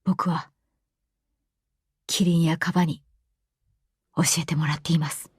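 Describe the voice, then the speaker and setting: A man's voice: on the high side, little pitch movement, a little slow, husky, no room sound, studio quality. A woman reading the part of an earnest, awkward, polite man in a radio drama. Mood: earnest, passionate. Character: earnest awkward man passionate about animals, hesitant in love.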